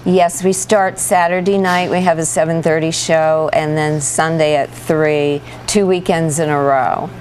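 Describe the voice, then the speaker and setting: A woman's voice: low-pitched, fairly even intonation, measured pace, few pauses, a little mellow. A woman chatting as an interview guest, agreeable and explanatory in a casual broadcast style. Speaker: interview guest from a community theater group. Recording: studio talk with clear background noise.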